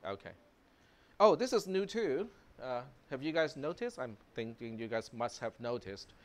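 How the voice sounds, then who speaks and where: A man's voice: medium pitch, normal speed. A man whose first language is Cantonese, giving a casual, chatty university computer science lecture in a classroom, with plenty of fillers. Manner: relaxed, chatty, lots of fillers, chuckles.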